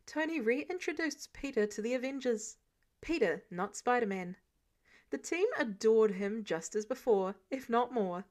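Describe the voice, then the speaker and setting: A woman reading fiction aloud as a narrator, in an expressive scripted storytelling style. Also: fairly high pitch, measured pace.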